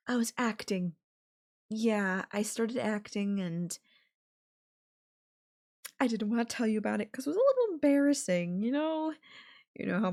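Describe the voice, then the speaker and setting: Slow-talking possessive yandere girlfriend, acted by a woman. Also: on the high side, gravelly, a little mellow, heavily acted. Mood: flustered, sheepish. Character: obsessive, possessive yandere girlfriend, sweet but menacing. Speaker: voice actor. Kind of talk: roleplay audio drama, intimate ASMR.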